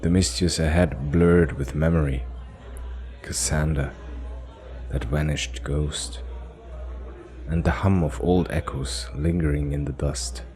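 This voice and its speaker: A man with an extremely deep voice narrates a dark sci-fi fantasy saga. His delivery is solemn and dramatic, unfolding slowly in short measured phrases over soft music. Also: fairly even intonation. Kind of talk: cinematic scripted fantasy audio drama narration.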